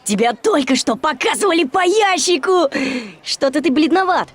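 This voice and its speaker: A woman's voice: sits high, animated, moderate pace. A performer voicing a chatty, nervous male desk cop in a video game dub.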